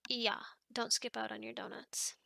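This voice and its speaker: High, creaky chatty demon girl, played by a woman. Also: flat delivery, moderate pace, sharp tone, no room sound, studio quality, full character voice. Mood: chatty, upbeat. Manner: casual, rambling, playfully critical. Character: chatty, fussy young female sleep paralysis demon. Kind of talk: ASMR roleplay, addressing the listener.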